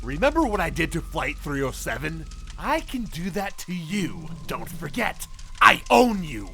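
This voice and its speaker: Man putting on a creaky, rude storybook pirate. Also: a little high, sing-song, unhurried, sharp tone, faint music behind, exaggerated voice. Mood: possessive menace. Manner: exaggerated, theatrical.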